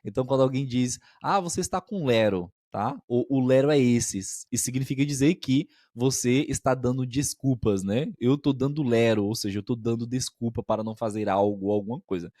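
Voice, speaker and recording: A man of average pitch explains expressions to learners, patient and methodical, often pausing to check that they follow. His voice sits close to the mic in a dry, dead room with no echo. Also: dark tone. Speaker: podcast co-host and language teacher.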